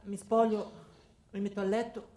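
A man narrating a first-person comic monologue as an actor, in a deadpan, terse manner. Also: high-pitched, normal speed, reverberant.

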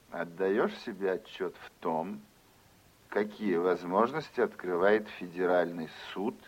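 Low gravelly scheming senator. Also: male voice, highs cut off, exaggerated voice. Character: scheming senator, smooth, insistent male political patron. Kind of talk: Soviet-style radio play acting.

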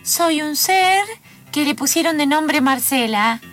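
Woman putting on an eager high-voiced little niece. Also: animated, slow, fairly bright tone, exaggerated voice. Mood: eager, giggly. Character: obedient young niece Marcela, giggly, eager to please. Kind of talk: improvised radio play. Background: music.

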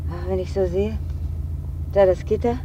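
An actress playing a sentimental but brisk Berlin businesswoman in a radio play, talking in short exclamations and questions. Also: medium pitch, steady tone, mellow, natural voice. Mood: wistful, moved. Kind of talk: naturalistic radio play acting. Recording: noisy outdoor street scene with car.

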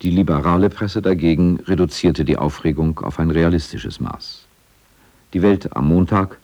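A man's voice: low-pitched, normal speed, a little mellow, highs cut off. A man reading scripted documentary narration for radio in a matter-of-fact way.

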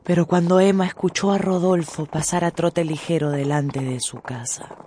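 A woman's voice: lowish voice, fairly even intonation, normal speed, dark tone, slightly rough. A woman as narrator, giving a dramatic scripted literary narration for a radio drama. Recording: music under the narration.

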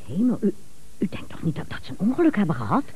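An actress in a radio comedy playing a prim, earnest secretary who is hesitant and eager to please. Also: female voice, medium pitch, sing-song, fast, warm tone, a little hiss or hum, lightly acted. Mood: anxious. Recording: thin, band-limited old radio recording.

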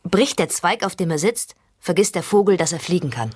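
A woman's voice: lowish voice, lively intonation, brisk, a little mellow, husky, no echo, studio quality. A woman playing an earnest, naive, stubborn young interviewee in a satirical radio sketch, talking colloquially. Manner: earnest, colloquial, literal-minded.

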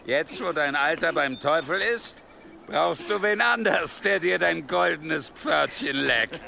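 Sneering, creaky-voiced brutal gang leader. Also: male voice, a little high, animated, takes it slowly, sharp tone, full character voice. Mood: sneering, lewd. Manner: sneering, threatening drawl. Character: coarse, menacing male war-band leader, crude and mocking. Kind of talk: German historical audio drama acting.

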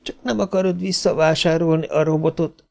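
A man's voice: fairly high pitch, fairly even intonation, gravelly, a little mellow, voice pushed up. A man voicing a fretful, exasperated mother in a storybook, impatient and scolding. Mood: grumpy, sceptical.

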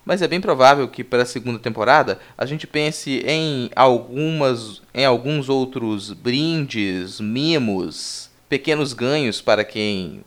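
A man's voice: medium pitch, takes it slowly, few pauses. A man with a Brazilian accent hosting a podcast, talking in an informal, conversational way. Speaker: podcast host. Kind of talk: podcast sign-off and casual chat.

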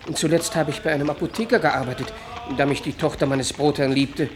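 A man's voice: a little high, fairly even intonation, a little fast, music in the background, natural voice. An actor playing a proud, earnest young surgeon seeking his fortune in a German radio play, speaking formally. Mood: earnest, hopeful.

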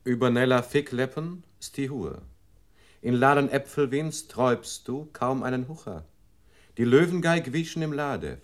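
An actor playing a computer voice in a radio play, reciting verse in a measured, formal way. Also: male voice, medium pitch, animated, lightly acted.

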